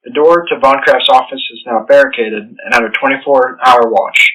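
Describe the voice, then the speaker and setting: A man's voice: mid-range voice, moderate pace, sharp tone, low-quality recording. A man playing an uneasy substitute professor, giving a scripted lecture for a horror fiction podcast. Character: uneasy male substitute professor at an occult university.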